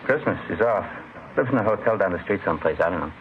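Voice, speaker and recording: A friendly, talkative male counterman in a 1950s radio drama. He speaks quickly and casually in a fairly low voice, through a tinny vintage recording. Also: expressive, a little hiss or hum. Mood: helpful, casual. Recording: tinny old radio recording, music behind.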